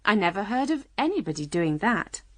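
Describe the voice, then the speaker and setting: A woman's voice: medium pitch, sing-song, fairly quick, no room sound. A woman playing a sensible, polite young storybook girl who questions and argues. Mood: puzzled.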